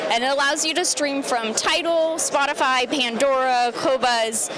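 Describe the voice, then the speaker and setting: A woman with a fairly high voice presents products as a company representative. She explains in an informative trade show demo style, with a busy crowd clearly audible around her. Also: unhurried, low-quality recording. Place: press event show floor. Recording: busy crowd chatter behind voice.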